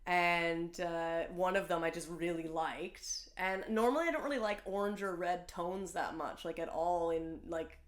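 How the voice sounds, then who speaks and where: A woman's voice: fairly low pitch, normal speed, hardly any pauses. Chatty woman podcast host. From Langley, Canada. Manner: chatty, lots of "like" and restarts. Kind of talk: casual interview chat. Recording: roomy echo.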